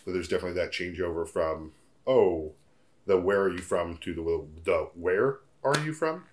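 Male, mid-thirties, American accent, low register. Reflective interviewee talking about himself. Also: normal speed. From Portugal and United States. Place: indoor living room, seated in armchair.

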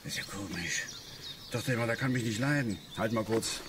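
An actor playing an opinionated, grumbling middle-aged angler in a radio play, blunt and colloquial, muttering in short clipped phrases. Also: male voice, on the low side, a little fast, natural voice. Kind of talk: naturalistic German radio play acting. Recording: noisy outdoor ambience with birds. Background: birds and outdoor nature sounds.

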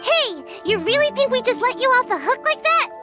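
A woman's voice: very high-pitched, very animated, a little fast, loud music behind the voice. An actress playing a small, matter-of-fact young ninja girl with a childlike manner in an anime-style video game. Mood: defiant.

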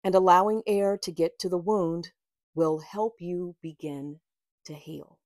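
A middle-aged woman with a slightly low voice reads aloud from her own book. Her phrasing is measured and deliberate, with room for casual asides. The sound is very dry, with no room echo. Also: fairly even intonation, darkish tone. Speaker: author and tarot reader. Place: small book-lined room indoors.

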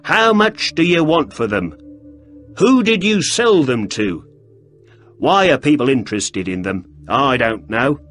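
A man voicing a grumpy, defensive male shopkeeper who snaps quick, irritable answers. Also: medium pitch, big pitch swings, a bit bright, soft background music, voice pushed up.

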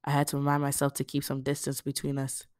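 A woman's voice: extremely low pitch, completely flat delivery, quick pace, a little mellow, no echo, studio quality. A woman reading a first-person novel aloud as scripted narration. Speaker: audiobook reader.

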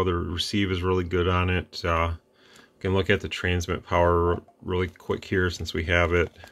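Low steady-voiced guy showing off a cb radio on his bench, laid back, chatty asides. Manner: relaxed, plain-spoken, rambling asides. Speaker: radio repair channel host. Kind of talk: casual product demo. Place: radio workbench indoors.

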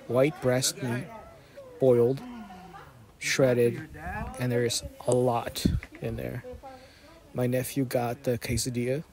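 A man in his thirties narrating a casual food vlog with deadpan, joking storytelling. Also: medium pitch. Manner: deadpan, jokey. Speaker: food vlogger.

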